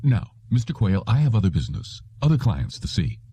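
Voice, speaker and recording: Audiobook role: nervous, dismissive businessman read by a man, very mellow, dry sound. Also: on the low side, sing-song, a little fast, breathy edge, no room sound. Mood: anxiously dismissive. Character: nervous businessman brushing off a client.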